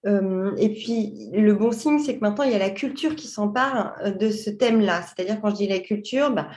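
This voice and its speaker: A woman's voice: medium pitch, normal speed, a little mellow, reverberant. A woman from France, a coach, explaining her field in a podcast interview in a thoughtful way, with frequent hesitations and restarts. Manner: thoughtful, many fillers and restarts. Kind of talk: conversational explanation.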